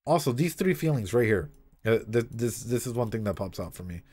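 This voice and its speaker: A man streaming a casual read-aloud with commentary, talking in an animated, swearing way with frequent asides. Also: mid-range voice, a little fast, husky.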